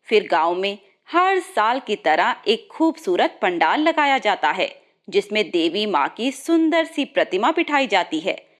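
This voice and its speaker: A woman's voice: medium pitch, big pitch swings, unhurried. A woman reading a scripted moral story as its narrator.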